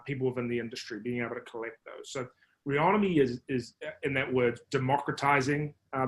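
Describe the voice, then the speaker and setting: A man with a New Zealand accent, speaking at a middle pitch and explaining his business at length. He talks in a measured way, with plenty of ums and restarts, as a podcast guest. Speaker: marketing executive guest.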